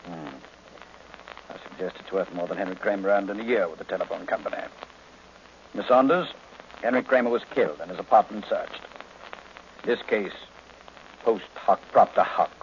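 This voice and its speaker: An actor playing a large, imperious private detective in old radio drama, pompous, erudite and curt. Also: male voice, lowish voice, moderate pace, long pauses, natural voice. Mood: shrewd, probing. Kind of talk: 1940s-50s radio drama acting. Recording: noisy, muffled old radio recording.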